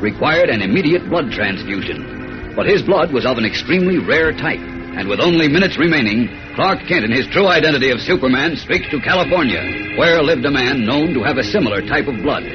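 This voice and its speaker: A man's voice: medium pitch, expressive, a little fast, music in the background. A man narrating a 1940s radio serial in a dramatic, scripted style. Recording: muffled old radio recording.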